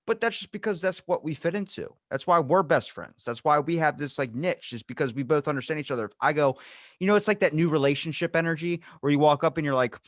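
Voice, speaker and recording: A man's voice: on the high side, quick pace, no echo, clean studio sound. A young man hosting a podcast, talking in a loose, casual way with some swearing, acting out little scenes as he goes. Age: young adult. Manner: loose, profane, animated, acts out little scenes. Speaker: podcast host.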